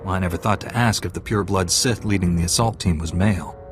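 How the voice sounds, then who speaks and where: An actor playing a righteous Jedi knight in a video game, firm, earnest and controlled. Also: male voice, low-pitched, fast talker, soft background music, natural voice. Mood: defiant, firm. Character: resolute, righteous Jedi knight, male hero. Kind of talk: dramatic video game hero acting.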